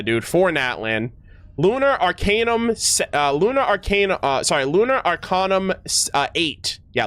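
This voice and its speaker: A man's voice: a little high, a bit bright, smooth, no echo. A man doing casual gaming commentary as a streamer, enthusiastic and slangy, often stumbling over his words and reading game text aloud. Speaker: streamer reacting to a video game.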